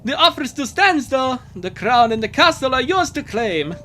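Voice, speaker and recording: A woman voicing a devoted old Scottish castle servant with a put-on Scottish accent, booming and formal. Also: big pitch swings, normal speed, sharp tone, slight background noise, full character voice.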